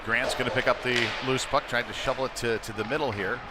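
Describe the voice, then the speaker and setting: A man giving conversational live play-by-play commentary of a youth hockey game, ready to break into excited calls. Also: mid-range voice, quick pace. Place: indoor ice rink during a game. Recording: clearly noisy sports rink behind voice.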